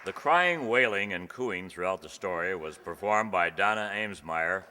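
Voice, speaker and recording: A man with a very low voice speaks as a live announcer, thanking performers and crew. He is in a reverberant hall, with clear noise and audience sound around him. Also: animated, normal speed, bright, forceful tone. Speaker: announcer and cast member. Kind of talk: live curtain-call thanks to cast and crew. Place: indoor hall before an audience.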